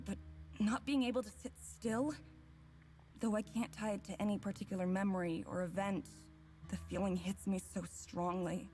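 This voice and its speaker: A woman's voice: mid-range voice, darkish tone, natural voice. An actress playing an anguished young woman who narrates a fairy vision in a dramatic visual novel. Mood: unsettled, awed.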